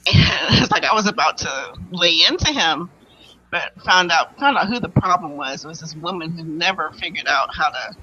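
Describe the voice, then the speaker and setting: A woman guest, a writer and editor, talking in a casual, nervous, soft-spoken way with small laughs. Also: deep voice, expressive, moderate pace, husky, highs cut off. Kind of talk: casual interview chat.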